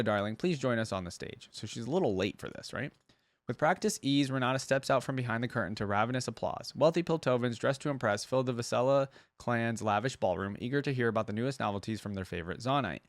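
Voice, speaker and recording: A man's voice: mid-range voice, fast talker, dry recording. A man hosting a reaction video, reading lore aloud and commenting in a conversational, explanatory way.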